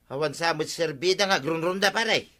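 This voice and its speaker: An actor voicing a worried farmer whose corn was stolen, complaining and apologizing in a comedy radio drama. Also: male voice, a little high, brisk, pushed and bright.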